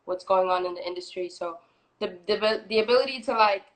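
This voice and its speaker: Young female singer guest, twenties, easygoing and talkative, medium pitch. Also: brisk, rough recording. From Florida, US. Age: 20s. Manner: relaxed, chatty, many fillers. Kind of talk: casual interview.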